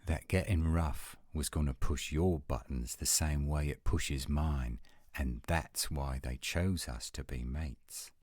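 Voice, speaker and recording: Man playing a male werewolf mate, extremely deep voice, intimate, reassuring, measured delivery, romantic roleplay audio, dry recording. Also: a little mellow, dry recording, full character voice. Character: adult male werewolf, steady reassuring lover. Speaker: voice actor.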